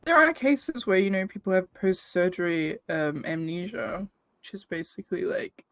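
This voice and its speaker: A woman's voice: on the low side, big pitch swings, moderate pace, a bit bright, no echo. A woman roleplaying, chatty and curious, filling her talk with "like" and "you know". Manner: chatty and curious, filler-heavy. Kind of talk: in-character roleplay chat.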